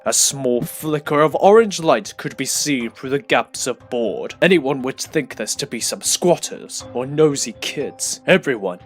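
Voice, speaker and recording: A man narrating a superhero audio drama in a theatrical, suspenseful storytelling style. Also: on the high side.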